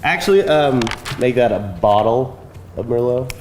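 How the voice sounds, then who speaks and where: An actor playing a courteous, chatty job interviewer eager to charm, in a live screenplay table read, insistent and rather formally polite. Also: male voice, medium pitch, expressive, normal speed, darkish tone, lightly acted. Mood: assured. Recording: echoey live room, faint noise.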